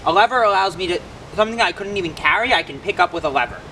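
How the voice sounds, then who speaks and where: A young man presents simple science to children in a home-made recording. His voice sits a little high and moves up and down in an animated way. There is faint background noise. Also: rapid-fire, low-quality recording. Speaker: read-aloud host and science demonstrator. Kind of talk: children's read-aloud and hands-on explanation.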